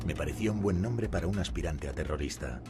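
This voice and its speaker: Male narrator for a documentary, very low voice, steady first-person read over clear music. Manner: calm, measured first-person telling. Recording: music playing behind.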